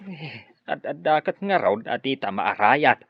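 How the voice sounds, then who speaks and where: A man's voice: medium pitch, sing-song, measured pace, no room sound, lo-fi sound. An actor playing an anxious middle-aged father with a fretful manner in an audio drama.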